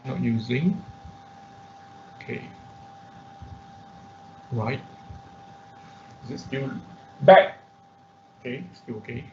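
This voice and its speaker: A man instructing an online class through a live software demonstration, talking haltingly as he thinks aloud. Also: medium pitch, expressive. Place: indoors, online class at a computer. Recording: muffled online class audio with background noise.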